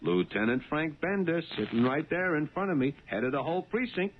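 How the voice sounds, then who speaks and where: An actor playing an anxious, honest working-class cab driver in a 1970s radio drama, hesitant and stammering. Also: male voice, fairly high pitch, lively intonation, a little fast, slight background noise, close to an everyday voice. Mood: uneasy, puzzled. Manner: hesitant, stammering, nervously flustered. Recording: muffled band-limited 1970s radio recording.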